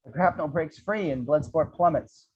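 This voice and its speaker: A man with a fairly high voice reads screenplay action as narrator, tossing in wry, irreverent asides. The recording is dull and lo-fi, and the delivery is that of an amateur table read of an action script. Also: moderate pace, breathy edge. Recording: dull, lo-fi audio.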